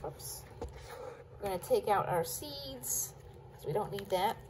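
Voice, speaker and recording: A woman's voice: medium pitch, animated, measured pace, noisy background. A woman chatting casually with her viewers while she chops vegetables at her kitchen counter. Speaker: cooking vlogger. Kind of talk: casual cook-along chat.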